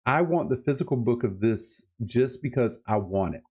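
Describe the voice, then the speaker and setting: A man's voice: medium pitch, expressive, normal speed, a little mellow, slightly rough. A man chatting casually and emphatically about books as a podcast host, with enthusiastic, colloquial delivery. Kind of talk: casual book-review chat.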